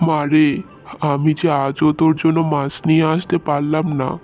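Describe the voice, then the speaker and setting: A man voicing a gentle, hardworking village fishmonger and doting father in a cartoon, speaking in a soft-hearted, reassuring way. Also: a little high, flat delivery, moderate pace, soft background music, close to an everyday voice. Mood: resigned, earnest. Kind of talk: Bengali moral-tale cartoon dub.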